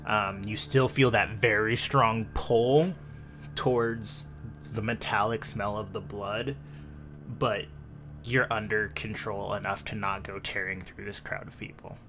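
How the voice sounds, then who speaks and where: A man with a low voice runs a horror roleplay as game master. He narrates slowly and descriptively over clearly audible music. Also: fairly even intonation. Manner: deliberate, descriptive second-person storytelling. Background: clear background music.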